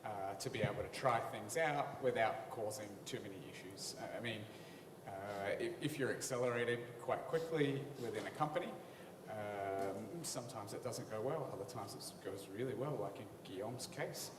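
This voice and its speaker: A man's voice: lowish voice, moderate pace, noisy background. Young man in a distant echoey hall. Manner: reflective, with fillers. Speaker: panelist. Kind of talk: conference panel answer. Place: large conference hall.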